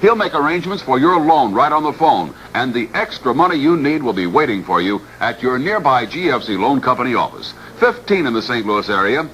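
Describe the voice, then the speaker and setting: A man's voice: mid-range voice, big pitch swings, a little fast, hardly any pauses, a bit bright. A man with an American accent reading a scripted 1960s radio advertisement as a commercial announcer. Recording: old radio broadcast, faint noise.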